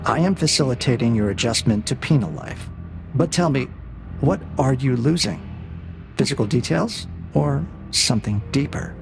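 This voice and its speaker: A man playing a cold, calculating AI interrogator in an audio drama, speaking in a measured, probing, condescending way with a gravelly edge. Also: mid-range voice, expressive, music in the background. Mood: cool probing. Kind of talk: scripted audio drama dialogue.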